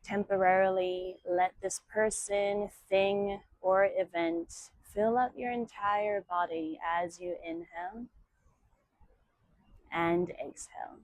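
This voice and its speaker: A woman teaching yoga, guiding meditation and breathing in a soothing, measured way with long pauses between short phrases. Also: medium pitch, fairly even intonation, dry recording, poor audio. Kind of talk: guided meditation and breathing instruction.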